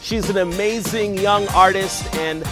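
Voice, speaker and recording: A woman hosts a virtual event, introducing each performer in a lilting, sing-song manner. Hip hop music plays clearly behind her. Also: medium pitch, unhurried. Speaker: event host.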